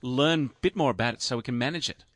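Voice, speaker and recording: A man's voice: medium pitch, fast, a bit bright, dry recording, clean studio sound. A man hosting a radio health show, introducing guests and taking calls in an upbeat presenter's manner. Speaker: radio host.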